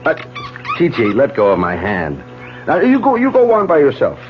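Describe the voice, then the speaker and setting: An actor playing an affable, polite young brain surgeon in a 1970s radio drama, courteous and a little hesitant. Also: male voice, medium pitch, wildly swooping pitch, normal speed. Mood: amused, affectionate. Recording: dull 1970s radio recording, faint music.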